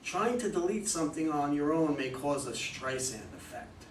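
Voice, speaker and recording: A man's voice: fairly high pitch, expressive, normal speed, natural voice. A man playing a composed, knowing consultant who questions and instructs his clients in a staged play reading. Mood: instructive. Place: room at a live readers' theater reading. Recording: echoey room, distant mic, faint noise.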